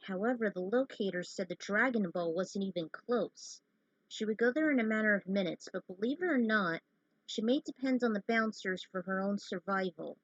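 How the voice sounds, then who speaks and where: A woman's voice: mid-range voice, no echo. A woman narrating her fan fiction in an informal read-aloud style with casual asides. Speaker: fan fiction reader and host. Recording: dull lo-fi close recording.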